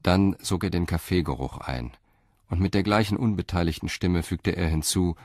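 A man narrating a radio crime drama in a measured, even way. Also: low voice, dry recording.

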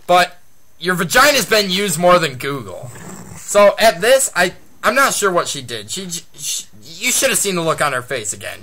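High-pitched, animated, foul-mouthed young man. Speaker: guest storyteller. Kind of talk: casual storytelling.

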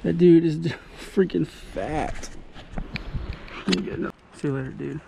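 Excitable man kayaking a loud river. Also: a little high, creaky. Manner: excitable, exclaiming, instructive. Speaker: kayak fishing vlogger. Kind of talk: excited on-the-water commentary. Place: outdoors on a rushing river in a kayak. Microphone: action camera on the kayak. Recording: loud rushing water noise.

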